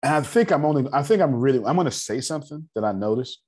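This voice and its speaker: A man in his forties with a raspy voice, hosting a casual group meeting and lecturing in a loose, talkative way. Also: medium pitch, expressive, fast, darkish tone. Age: 40s. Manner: loose, talkative, laughing asides. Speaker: meeting host.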